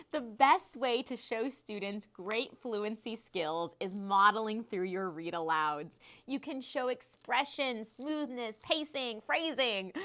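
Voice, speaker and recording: A woman teacher hosting a scripted instructional segment, enunciating clearly and stressing key phrases. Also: a little high, fairly bright tone. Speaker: teacher and host of classroom tips show.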